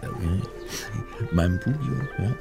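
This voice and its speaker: An actor playing a rattled but dryly wry amateur sleuth in a naturalistic German radio crime drama. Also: male voice, low-pitched, takes it slowly, loud music behind the voice. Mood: accusing, shaken.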